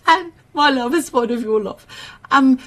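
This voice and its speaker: A woman's voice: high voice, expressive, measured pace, fairly bright tone. A woman whose first language is Afrikaans, hosting an online summit. She talks in an effusive way, hunts for words and repeats her phrases. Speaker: summit host. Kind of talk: warm livestream summit hosting.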